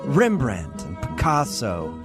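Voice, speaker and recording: A man's voice: medium pitch, huge pitch swings, slow, over loud music. A man hosting a morning radio show, bantering and interviewing in a teasing, joking way.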